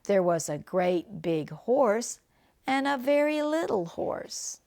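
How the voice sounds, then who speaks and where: An older woman reading a picture book aloud to children like a grandmother, phrasing things deliberately and chatting about the pictures. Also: medium pitch, big pitch swings, vocal fry, a little mellow. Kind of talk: read-aloud storytelling with chatty asides and animal sounds.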